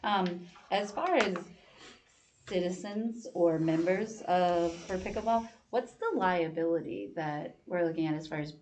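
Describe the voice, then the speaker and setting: A woman on a town commission, asking questions at a public meeting with many pauses and ums. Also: fairly low pitch, darkish tone, slight background noise. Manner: hesitant, apologetic, many ums. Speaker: commission member.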